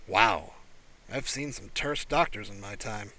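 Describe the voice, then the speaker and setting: A man's voice: fairly low pitch, measured pace, voice pushed down. A man voicing an exuberant, breezy female astronaut who is proud of her ship, in an audiobook. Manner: excitable, breezy. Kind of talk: audiobook character voice.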